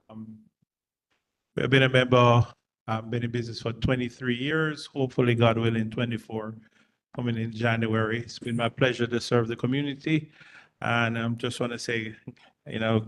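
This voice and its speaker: A man who owns a cafe, giving an informal, friendly public comment at a community board meeting with many hesitations. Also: medium pitch.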